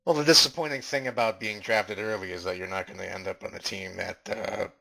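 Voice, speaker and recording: Guy doing a mock interview, low but bright sharp voice, straight-faced questions. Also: steady tone, brisk, vocal fry, a little hiss or hum. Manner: earnest, formal questions.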